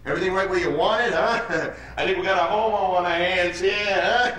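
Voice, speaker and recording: An actor playing a menacing, mocking street gang leader in a theatrical monologue, badgering and repeating himself. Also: male voice, high-pitched, fairly quick, sharp tone. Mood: taunting. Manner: badgering, repetitive, punctuates lines with "huh?". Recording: echoey room, distant mic, noisy.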